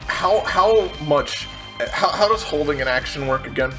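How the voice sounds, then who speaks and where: A man's voice: fairly high pitch, animated, normal speed, bright, forceful tone, poor audio. A man playing a tabletop game, speaking in casual table talk with music behind him.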